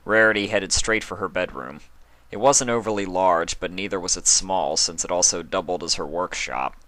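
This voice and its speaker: A man narrating a fan fiction story in a calm, steady storytelling manner. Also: low voice, normal speed, no echo, low-quality recording. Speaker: reader.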